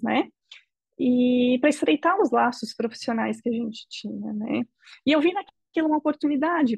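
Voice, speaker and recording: A Brazilian woman interviewee telling her personal story in a measured, reflective way, often ending phrases with a questioning tag. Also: on the high side, vocal fry, a little mellow, breathy edge, dry recording. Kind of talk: emotional personal testimony.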